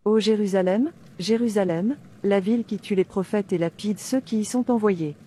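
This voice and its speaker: A woman playing a devout, fearless captive in an animated drama, reciting scripture in a declamatory way. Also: mid-range voice, moderate pace, breathy edge, natural voice. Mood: defiant, devout. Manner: declamatory, reciting verses with references.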